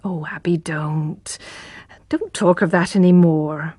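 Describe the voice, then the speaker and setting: A woman voicing a gentle, worried young country girl who answers softly. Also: medium pitch, sing-song, a little slow, slightly rough, no echo, clean studio sound, exaggerated voice. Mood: subdued. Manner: soft, subdued replies.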